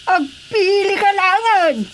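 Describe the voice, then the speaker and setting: A woman's voice: extremely high pitch, lively intonation, slow pace, noisy background, full character voice. A woman with an Ilocano accent playing a bossy, amorous rebel commander who teases and threatens, in radio drama comedy style. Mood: bossy, teasing.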